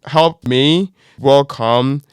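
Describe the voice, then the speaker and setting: A man's voice: medium pitch, extremely slow, smooth. Upbeat man hosting a podcast. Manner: enthusiastic, affirming, repeats praise. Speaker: podcast host. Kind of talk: interview hosting.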